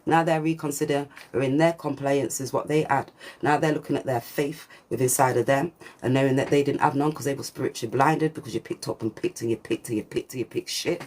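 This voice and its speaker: A woman with a deep voice giving a channelled spiritual reading, preachy and repetitive, with swearing and slang. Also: steady tone, a little fast, a little mellow. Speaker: spiritual reader. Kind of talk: channelled collective reading monologue.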